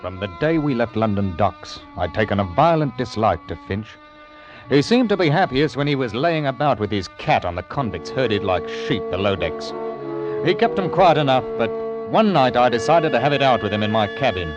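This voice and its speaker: An actor playing a stern, humane ship's captain who speaks in a firm, measured way in an old radio drama. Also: male voice, medium pitch, big pitch swings, over music, close to an everyday voice. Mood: grave recounting. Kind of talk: old-time radio drama narration and dialogue. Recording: dull lo-fi old radio recording.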